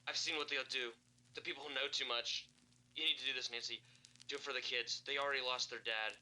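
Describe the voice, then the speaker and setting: An actor playing a stern, protective park ranger with an urgent, commanding manner in a found-footage horror drama. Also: male voice, mid-range voice, fairly even intonation, fast, sharp tone, poor audio. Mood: urgent, pleading.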